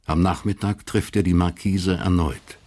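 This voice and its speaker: A man narrating a German historical crime radio drama as a sober storyteller, measured and matter-of-fact. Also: deep voice, fairly even intonation, a little mellow. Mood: observant.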